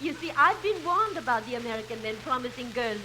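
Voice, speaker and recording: Actress playing a high-voiced coy young French woman. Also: lively intonation, quick pace, lightly acted. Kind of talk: 1950s radio comedy acting. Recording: hissy old radio recording.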